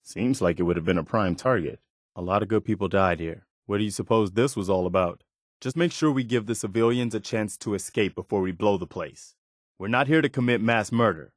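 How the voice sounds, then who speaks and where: Earnest, low-voiced wasteland militiaman. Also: no echo, clean studio sound. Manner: sincere, plain-spoken, conversational. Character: earnest, weary, principled militia soldier in a post-apocalyptic wasteland. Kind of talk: video game companion voice lines.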